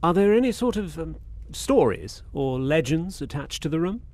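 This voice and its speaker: An actor playing a polite, breezy and sceptical young lord in a suspense audio drama. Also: male voice, a little high, very animated, normal speed, a little mellow. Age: young adult.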